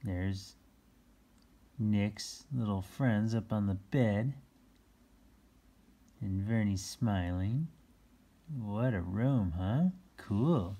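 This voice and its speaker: A children's read-aloud host with a husky voice, reading a picture book and adding playful exclamations and explanations for young listeners. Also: sits low, extremely slow, long pauses, dark tone. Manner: exclaims, gasps, chuckles and explains words to children.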